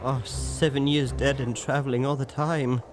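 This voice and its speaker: An actor playing a nervous, querulous old miser in a radio drama, stammering, repeating himself and pleading. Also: male voice, mid-range voice, flat delivery, normal speed, noisy background, lightly acted. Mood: anxious. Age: older.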